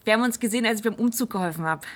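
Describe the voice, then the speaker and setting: A woman's voice: fairly high pitch, rapid-fire, lightly acted. An actress playing a friendly but worried seventeen-year-old girl who talks casually and a little hesitantly in a naturalistic radio drama. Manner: hesitant, casual youth slang.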